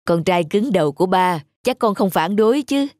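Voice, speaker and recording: A man voicing a proud, gently firm father in a cartoon, speaking in a measured, encouraging way. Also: high-pitched, animated, no room sound, studio quality. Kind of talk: animated cartoon dubbing.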